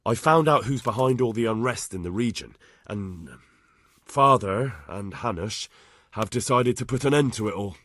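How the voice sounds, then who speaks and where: A man acting an earnest, respectful young squire in a medieval video game, with a husky voice. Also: fairly low pitch, normal speed, a bit bright, no room sound, studio quality. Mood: urgent, reporting.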